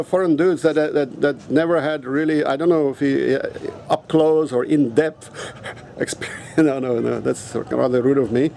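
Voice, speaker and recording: A man's voice: a little high, creaky, dark tone, slight background noise. A native Afrikaans-speaking man vlogging outdoors in a casual, rambling and blunt way, swearing now and then. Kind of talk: casual rambling vlog talk.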